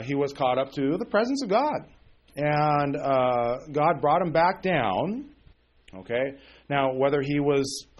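A man's voice: mid-range voice, muffled recording. A man in his forties teaching a sermon on a Bible passage, with many fillers and restarts, often checking in with "okay?". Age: 40s. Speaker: preacher.

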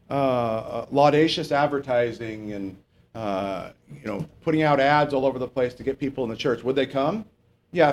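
A man preaching a sermon in a church, talking conversationally, asking the congregation rhetorical questions and dropping joking asides. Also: medium pitch. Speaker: pastor.

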